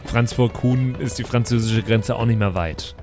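A man's voice: mid-range voice, moderate pace. A man talking casually as a player in an improvised tabletop game. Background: faint background music.

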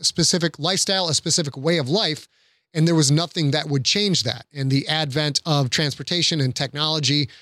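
A man's voice: fairly high pitch, a little fast, pushed and bright, no room sound, studio quality. A man speaking as a podcast guest, giving thoughtful conversational commentary.

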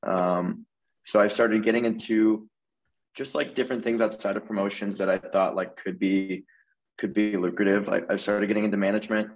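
A man's voice: lowish voice, moderate pace, low-quality recording. A man in his twenties from Florida, chatting casually as a podcast guest over a video call and saying "like" a lot. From Tampa Bay area, Florida. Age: 20s. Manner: relaxed, peppers speech with "like". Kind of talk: casual interview chat.

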